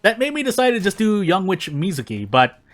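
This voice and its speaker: A man's voice: sits high, sing-song, a little fast, a bit bright, faint music behind. A man doing casual gaming video narration and commentary in a rambling, self-deprecating way. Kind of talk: casual gameplay commentary and fanfic reading.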